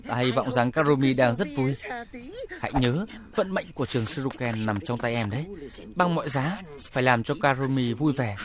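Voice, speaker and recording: A man's voice: medium pitch, expressive, moderate pace, crowd noise, natural voice. A man dubbing an eccentric little old school headmaster in a cartoon, fussy and doting. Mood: insistent.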